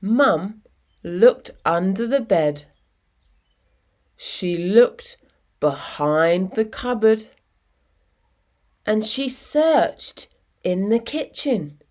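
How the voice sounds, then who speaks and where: A woman with a medium-pitched voice reads a picture book to young children. She takes it very slowly, leaving dramatic pauses between short phrases. Her delivery is expressive and deliberate, in the manner of storytime. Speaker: storytime reader.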